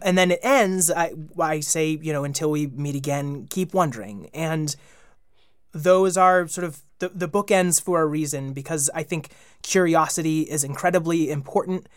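A young man, a guest who hosts a children's podcast, talking earnestly with a slightly high voice and frequent restarts. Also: normal speed, clean tone, dry recording, studio quality. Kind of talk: casual interview chat.

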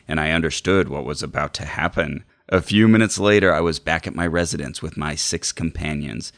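A man co-hosting a podcast, reading book passages aloud in a measured, formal way. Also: deep voice, creaky, fairly bright tone, no room sound, studio quality. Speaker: podcast co-host.

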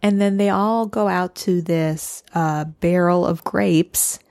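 A woman's voice: lowish voice, steady tone, slow, gravelly, darkish tone, no room sound, clean studio sound. A woman co-hosting a podcast, chatting as she walks through a show's plot step by step. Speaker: podcast co-host. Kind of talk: casual recap chat.

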